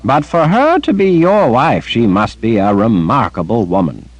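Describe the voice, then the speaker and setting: A man voicing an elderly male wizard, a fretful, warm grandfather, with a high, quavering old voice. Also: wildly swooping pitch, takes it slowly, no echo, full character voice. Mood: tender.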